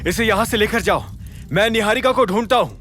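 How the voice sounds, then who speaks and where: Man voicing an urgent, high-pitched young action hero. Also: brisk, a bit bright, soft background music. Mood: urgent command. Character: brave, protective young male hero, hot-tempered and resolute. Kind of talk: Hindi audio drama hero.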